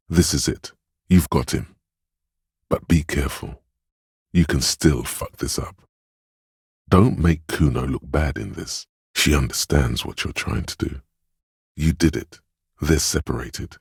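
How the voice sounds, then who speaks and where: An actor playing a grave, perceptive inner voice of empathy in a game, husky and measured, gently guiding the listener in intimate narration. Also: male voice, dry recording, clean studio sound, exaggerated voice. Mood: hushed, cautioning. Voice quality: very deep, husky. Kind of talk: scripted game narration, second person.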